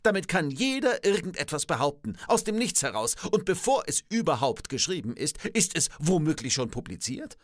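Man playing a pedantic professor, animated pitch. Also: moderate pace, few pauses, dry recording, studio quality. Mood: indignant. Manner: pedantic, theatrical, articulate. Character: indignant, old-fashioned male professor, skeptical of technology. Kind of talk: scripted satirical dialogue.

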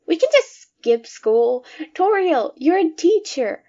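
A woman voicing an excitable, cheerful little monster kid in an audio drama, eager and childlike. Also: extremely high pitch, slow pace, heavily acted. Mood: eager, excited. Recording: dull, slightly lo-fi.

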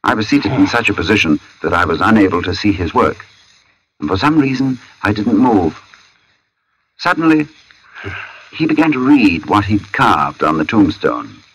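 An actor playing an uneasy artist who narrates an eerie tale in a brooding, deliberate 1940s radio suspense style. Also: male voice, on the low side, very animated, fairly bright tone, slightly rough, lo-fi sound, exaggerated voice. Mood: uneasy, haunted. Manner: brooding, deliberate, halting when shaken. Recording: old radio recording with music behind.

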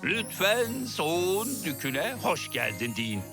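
A grandiose, courteous storybook ruler for a children's cartoon. His gravelly voice swoops theatrically from word to word. Music plays behind him. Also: sits high, very animated, slow, exaggerated voice. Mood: grand, cheerful.

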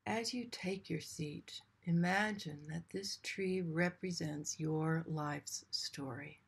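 Slow, lower-pitched woman guiding meditation. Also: vocal fry, husky. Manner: gentle, soothing, with long pauses between short phrases. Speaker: meditation guide. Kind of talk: guided meditation.

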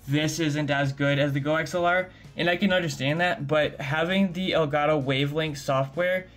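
A man with a higher-pitched voice chatting casually and enthusiastically as he tours his streaming setup, in a slightly echoey home room on a studio microphone. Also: a bit bright. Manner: upbeat, chatty, enthusiastic about gear. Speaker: streamer and video creator. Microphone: studio dynamic mic on arm.

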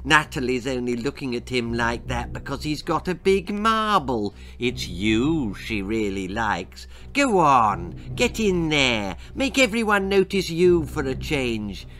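A man's voice: mid-range voice, big pitch swings, bright, forceful tone. Man voicing a sly, drawling worm of envy. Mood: sly, goading. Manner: insinuating, coaxing, drawn-out delivery. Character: sly, persuasive little green worm of envy, whispering flattery.

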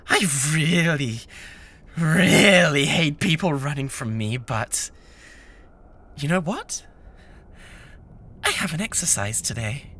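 A man's voice: on the high side, animated, pushed and bright, exaggerated voice. A British man playing an obsessive, unhinged young yandere classmate in an intimate, menacing ASMR roleplay. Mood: desperate, angry, then playfully threatening. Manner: menacing, intimate, slow and theatrical.

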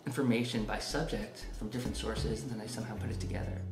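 A man's voice: lowish voice, fairly even intonation, quick pace. A middle-aged man talking casually in an interview, explaining things as he thinks aloud. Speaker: author of a local history book, interviewee. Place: indoor rooms, office and home. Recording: roomy echo, music underneath. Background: instrumental music.

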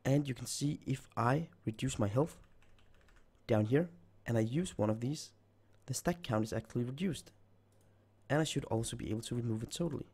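A man's voice: mid-range voice, moderate pace, a little mellow, breathy edge. A man who is nearly losing his voice, talking through a coding tutorial while he types. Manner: thinks aloud while typing code. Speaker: programming tutor. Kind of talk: screen-recorded coding tutorial.

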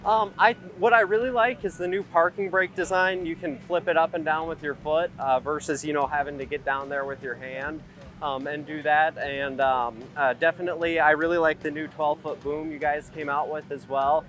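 A man speaks casually in an outdoor testimonial. His pitch is a bit high, and faint music runs behind him. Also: fairly even intonation, moderate pace, fairly bright tone. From Iowa, United States. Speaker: lawn care business owner. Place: outdoors at a trade expo.

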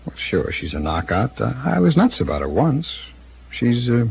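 A man's voice: medium pitch, very animated, normal speed, gravelly, slightly rough, exaggerated voice. An easygoing city attorney in his thirties. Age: 30s. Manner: easygoing, slightly evasive, with fillers. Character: smooth-talking male city attorney. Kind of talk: 1950s radio drama acting. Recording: tinny, hissy old radio broadcast.

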